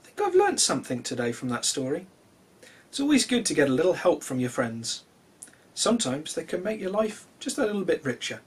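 A man reads to young children with plenty of expression. His voice sits fairly high and moves up and down freely. It has a husky edge, and his delivery is simple and gentle. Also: a little fast. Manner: animated, simple and gentle address to young listeners. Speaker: children's storyteller and host. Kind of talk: expressive read-aloud children's storytelling.

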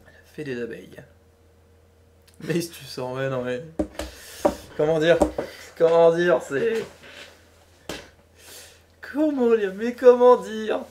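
A young woman co-hosting a gaming talk show live stream, teasing and animated as she runs a guessing game. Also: lowish voice, a little hiss or hum. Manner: teasing, animated, quick laughs. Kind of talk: playful livestream quiz chat.

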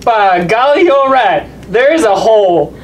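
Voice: medium pitch, expressive, a little slow, slight background noise, lightly acted. A performer voicing a mild, good-natured dad puppet in a children's show, hesitant and folksy.

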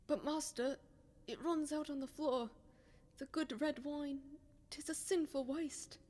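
A woman's voice: high voice, steady tone, measured pace, darkish tone. A woman playing a pious, timid, kindly old male servant, lamenting in old-fashioned radio drama style. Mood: fearful, pleading.

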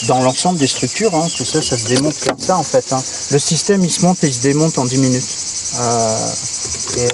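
A middle-aged man talks casually and practically, explaining as he goes. His voice sits at an ordinary pitch, picked up from a step or two away in the open air, with clear outdoor noise around him. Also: recorded from a distance. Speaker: interviewee showing his camper van. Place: outdoors at the open back of a van in a grassy field. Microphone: handheld camera a step or two away.

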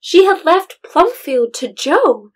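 Woman reading storybook narration for an audio drama, pretty high voice. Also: very animated, slow pace.

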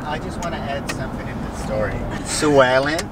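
A man's voice: medium pitch, a little fast. Distant man chiming in from driver's seat. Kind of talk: casual chat. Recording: distant from mic, loud road noise.

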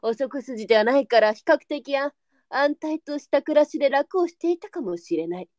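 A woman's voice: high-pitched, lively intonation, normal speed, darkish tone, dry recording, clean studio sound. A woman playing a worldly, firm but kindly brothel madam, composed and matter-of-fact, in a Japanese period audio drama. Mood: composed, advising.

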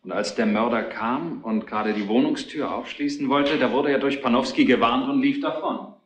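An actor playing a methodical, matter-of-fact detective's assistant reasoning through a case in a retro crime radio play. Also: male voice, mid-range voice, normal speed, natural voice. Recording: roomy, faintly noisy retro radio play.

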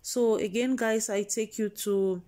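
A woman's voice: medium pitch, fairly even intonation, measured pace, dark tone. Lady doing a news vlog, talks straight to the guys watching, lots of oh my god. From Cameroon. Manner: chatty, exclaiming, repeats points to the viewers. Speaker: vlog host.

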